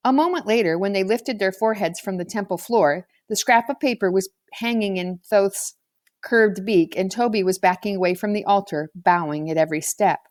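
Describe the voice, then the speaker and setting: A woman's voice: mid-range voice, normal speed, a little mellow, no echo, clean studio sound. A woman reading a children's novel aloud as a scripted narrator. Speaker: audiobook reader.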